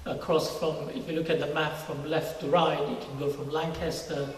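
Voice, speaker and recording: A man's voice: on the high side, little pitch movement, normal speed. Middle-aged man in a very echoey hall. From Singapore. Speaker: interview guest, university research scientist. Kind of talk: informal testimony interview. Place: large church hall, indoors. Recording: very echoey church hall, distant mic, faint noise.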